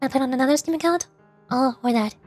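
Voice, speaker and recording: High-voiced chatty woman streamer. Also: fast, darkish tone, soft background music. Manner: rambling, chatty, answers viewers' questions. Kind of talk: casual livestream chat.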